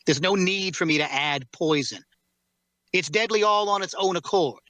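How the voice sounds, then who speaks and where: A man playing a solemn, learned warrior who bears a cursed sword, speaking in a measured, formal way in a fantasy drama reading. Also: high voice, bright, forceful tone, dry recording. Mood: grave, intent. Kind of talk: dramatic fantasy table read.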